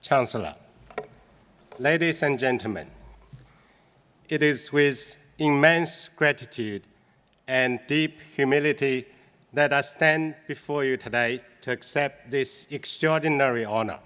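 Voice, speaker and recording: A man from China giving a humble, deliberate formal acceptance speech. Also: medium pitch, a bit bright, smooth. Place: large ceremony hall. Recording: echoey large hall.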